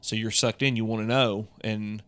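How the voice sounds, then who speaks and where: A man's voice: lowish voice, level tone, normal speed, fairly bright tone, smooth. A man co-hosting a podcast, chatting casually and explaining his take with conversational asides. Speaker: podcast co-host. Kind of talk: casual chat.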